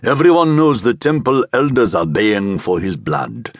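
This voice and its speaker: A man voicing a strong older man who speaks deliberately and persuasively, with a guttural Egyptian accent, in a narrated story. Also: fairly low pitch, dry recording, clean studio sound. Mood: urgent, persuasive. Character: Nahor, strong, commanding older man with magnetic menace.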